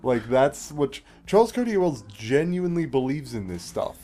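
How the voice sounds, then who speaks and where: A man's voice: mid-range voice, very animated, moderate pace, warm tone. A man giving casual, explanatory commentary as he plays a game, with a wry way of talking. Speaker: let's play host playing the game. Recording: game music behind.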